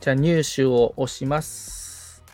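A man's voice: medium pitch, steady tone, measured pace, darkish tone, faint music behind. A man assisting on a tutorial podcast, agreeably reading out steps as he follows along politely. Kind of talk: casual, polite walk-through of on-screen steps.

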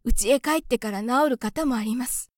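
A woman's voice: fairly high pitch, normal speed, no room sound, studio quality, close to an everyday voice. A woman voicing an anxious, tearful mother field mouse who speaks very politely and pleads. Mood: polite explaining.

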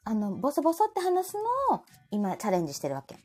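A woman's voice: mid-range voice, wildly swooping pitch, quick pace, a little mellow, husky, faint music behind. A woman in her forties with a Kansai accent, chatting casually and playfully as host of a live audio show, often giggly. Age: 40s. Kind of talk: casual chat.

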